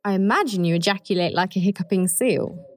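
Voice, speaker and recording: A woman's voice: medium pitch, brisk, no room sound, lightly acted. A woman playing an acid-tongued, impatient political staffer in a comedy drama. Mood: impatient, mocking. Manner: cutting, sarcastic, impatient. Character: acid-tongued, foul-mouthed female political staffer, scornful. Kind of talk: fast comedy audio drama dialogue.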